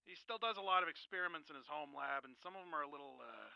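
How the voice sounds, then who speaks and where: An actor playing a wheedling agency boss in a radio drama, gravelly, casual and hedging as he talks. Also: a little high, racing, no echo, muffled recording. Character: evasive, wheedling male boss of a care agency. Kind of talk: naturalistic radio drama acting.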